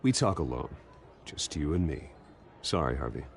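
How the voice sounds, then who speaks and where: An actor playing a brooding young billionaire in a video game, speaking in a terse, guarded, controlled way. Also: male voice, very deep voice, big pitch swings, normal speed, creaky, a little hiss or hum, heavily acted. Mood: cool, guarded. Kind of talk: video game dramatic acting.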